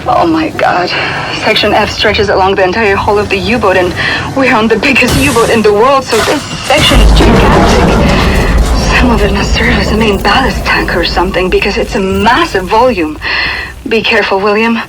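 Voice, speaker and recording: An earnest, caring young woman played by an actress briefs her ally with urgent concern. She is a resistance fighter in a video game, with clear music running behind her. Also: mid-range voice, normal speed, close to an everyday voice, low-quality recording. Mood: alarmed.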